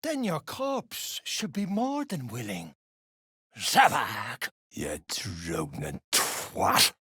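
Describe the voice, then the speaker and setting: An actor playing a foul-mouthed, surly duergar dwarf fighter in a video game, with a rough, husky voice and coarse slang. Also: male voice, medium pitch, very animated, drawn-out words, bright, forceful tone, no room sound, clean studio sound, exaggerated voice. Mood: angry, threatening. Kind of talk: video game character lines.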